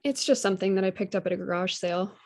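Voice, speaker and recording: A woman's voice: medium pitch, racing, a little mellow, close to an everyday voice. A woman playing a shy, polite young fiancée in a table read, with a hesitant, nervous manner. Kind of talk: virtual table read acting.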